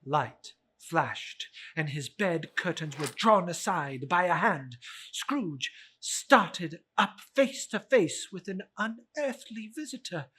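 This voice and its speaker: Male narrator for dramatic literary reading, unhurried, very varied intonation. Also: high voice, expressive, pushed and bright, breathy edge. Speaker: story narrator.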